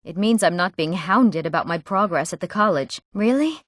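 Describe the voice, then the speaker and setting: A woman's voice: lowish voice, expressive, normal speed, no echo, studio quality, close to an everyday voice. A young woman voicing an eager, proud young Nord townswoman in scripted fantasy game dialogue, with an earnest delivery. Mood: conversational. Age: young adult. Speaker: video game voice actor.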